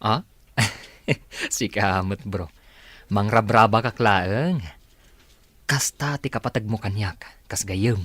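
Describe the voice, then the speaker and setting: A man's voice: lowish voice, takes it slowly, pauses often, fairly bright tone, no room sound. A man with an Ilocano accent playing a teasing, helpful best friend in a radio drama, jovial in manner.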